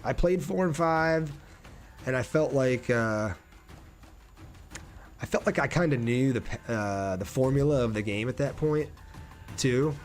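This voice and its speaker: A man's voice: medium pitch, measured pace, long pauses, soft background music. A man doing casual live gameplay commentary and chatting with viewers in a laid-back, slangy way. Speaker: game streamer.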